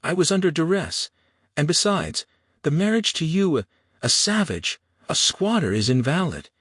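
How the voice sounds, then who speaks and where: A man's voice: fairly high pitch, big pitch swings, moderate pace, fairly bright tone, husky, no echo, clean studio sound, pitched above the performer's own voice, heavily acted. A man voicing a sneering, pompous, cowardly shopkeeper in an Old West audiobook, indignant and flustered.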